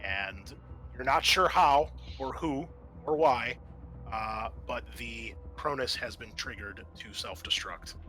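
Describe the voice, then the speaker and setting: Bright-voiced man running a game, music behind. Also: mid-range voice, slow pace, rough recording. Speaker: game master and host. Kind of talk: narrating a tabletop roleplaying game.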